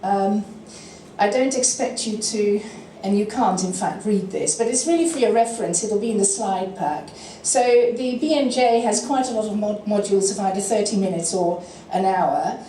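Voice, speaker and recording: Mellow-voiced woman presenting, slightly off-mic. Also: mid-range voice, normal speed, a little hiss or hum. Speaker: network lead presenting. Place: lecture room. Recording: roomy room sound picked up from a distance.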